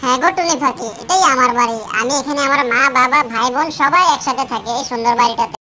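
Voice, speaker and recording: A woman acts a young mermaid princess from a cartoon fairy tale. The voice is high and bright, fast and plaintive, anxious yet friendly. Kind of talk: children's cartoon story acting.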